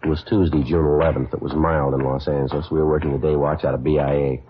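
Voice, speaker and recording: Very deep, deadpan police detective. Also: male voice, fast, a little hiss or hum. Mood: flat, businesslike. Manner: deadpan, clipped, matter-of-fact. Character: terse, businesslike male police detective. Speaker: actor in a radio police drama. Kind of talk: 1950s radio acting, clipped narration and dialogue. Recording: dull, lo-fi old radio recording.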